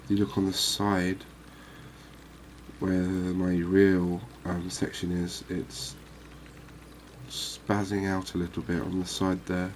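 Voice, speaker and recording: A man giving unhurried, murmured ASMR commentary as he hosts a fishing game series. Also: deep voice, completely flat delivery, slight background noise. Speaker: host of a gaming series.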